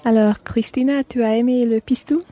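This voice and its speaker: Woman as French mother, higher voice, very warm dark tone, curious, conversational, learners' radio play. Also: fairly even intonation, normal speed, close to an everyday voice. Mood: friendly, curious. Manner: conversational, questioning. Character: chatty, inquisitive French mother hosting new friends. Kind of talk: scripted language-course radio play.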